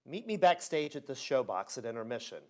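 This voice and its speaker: A man playing a conspiring theater-world schemer in a radio play, smooth-talking and sardonic. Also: medium pitch, sing-song, a little fast, close to an everyday voice. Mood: conspiratorial.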